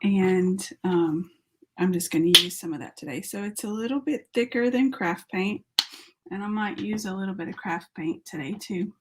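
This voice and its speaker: A woman's voice: mid-range voice, normal speed, heavy background noise. A woman artist with a sore throat and a fading voice, chatting casually and thinking aloud as she paints during a live tutorial. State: sore throat, losing her voice.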